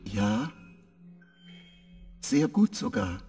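An actor playing a confused male spacecraft AI who gives short flat answers and drifts into eerie visions, in a radio play. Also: fairly low pitch, drawn-out words, soft background music, heavily acted. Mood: calm.

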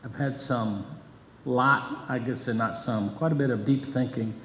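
A man's voice: mid-range voice, steady tone, a bit bright, a little hiss or hum. Older man preaching in an echoey church. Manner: earnest, measured preaching with scripture readings. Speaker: pastor. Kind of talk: sermon. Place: church sanctuary, at a pulpit. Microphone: pulpit microphone, far camera. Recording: echoey church hall from far off.

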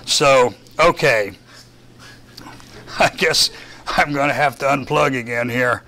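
An older Texan man with a Southern drawl leads a lab session, his tone bright and forceful. He talks casually and drily, filling pauses as he clicks through the software, recorded from across a dark classroom. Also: medium pitch. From Texas. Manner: casual, self-deprecating, thinks aloud with fillers. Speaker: lecturer. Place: dark lecture room with projector screen.

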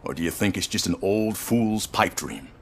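An older man playing a frail, courtly, calculating corporate chief in a video game, measured and polite. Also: low-pitched, lively intonation. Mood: wistful, gracious. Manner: measured, polite, paternal with veiled menace. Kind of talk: cinematic video game acting.

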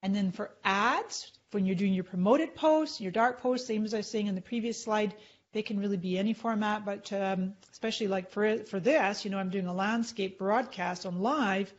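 A woman's voice: medium pitch, moderate pace, lo-fi sound. A middle-aged woman leading a chatty live tutorial on screen, clicking through and explaining as she goes. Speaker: social media marketing trainer.

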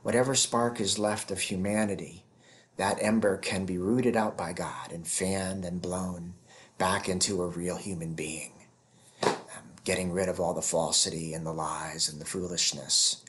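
A man in his fifties giving an explanatory theology lecture as a professor, speaking deliberately and stressing key words. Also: low-pitched, level tone, a bit bright. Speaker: professor teaching scripture.

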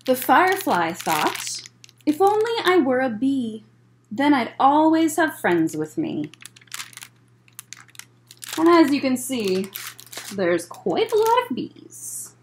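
A woman in her thirties reading a picture book aloud as a children's librarian, in measured phrases with chatty asides. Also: sits high, wildly swooping pitch, a little hiss or hum. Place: library room, indoors.

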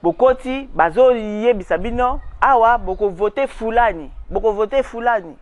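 A woman's voice: on the high side, normal speed, dry recording. A woman in her forties with a Congolese accent, giving an emphatic outdoor interview on a lapel mic. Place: outdoors in a garden.

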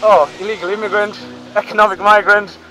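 Candid man from Sri Lanka, high voice. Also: steady tone, brisk, pushed and bright, rough recording. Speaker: refugee interviewed. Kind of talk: documentary interview.

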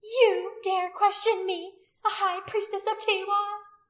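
Shrill, zealous pagan high priestess. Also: female voice, steady tone, normal speed, a bit bright, echoey room. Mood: furious. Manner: shrill, imperious, accusing declamation. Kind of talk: dramatic audiobook character acting.

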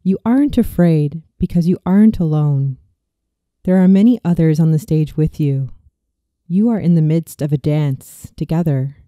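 A speaker in their thirties reading a scripted guided visualization in a soothing, slow and deliberate way, as a meditation narrator. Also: medium pitch, warm tone, no echo, studio quality. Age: 30s.

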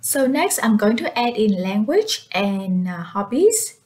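A woman whose first language is Vietnamese, narrating a step-by-step software tutorial as its presenter. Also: medium pitch, sing-song, a little slow.

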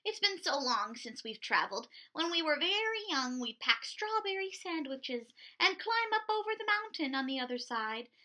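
Three kindly storybook sisters who fuss and exclaim over things, as a woman voices them. They speak in a high, bright voice with lively rises and falls. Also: normal speed, few pauses, full character voice.

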